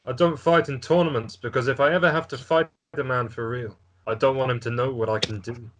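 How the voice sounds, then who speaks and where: A man's voice: mid-range voice, fairly even intonation, brisk, a bit bright, slight background noise, close to an everyday voice. A man playing a stern, guarded warrior lord, terse and blunt, in an amateur script table read.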